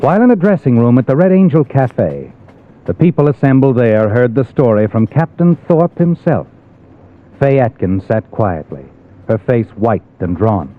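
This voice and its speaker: An actor playing an ominous, insinuating storyteller, narrating a suspense drama in 1950s radio style. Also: male voice, medium pitch, sing-song, moderate pace, slight background noise, lightly acted. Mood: grave, narrating. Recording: muffled old radio with faint music.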